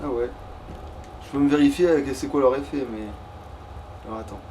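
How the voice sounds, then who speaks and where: A man's voice: medium pitch, fairly quick, a little mellow, far from the mic, low-quality recording. A man playing a video game and chatting casually, muttering and swearing in slang. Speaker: let's player. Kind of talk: casual gaming chat. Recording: faint background noise.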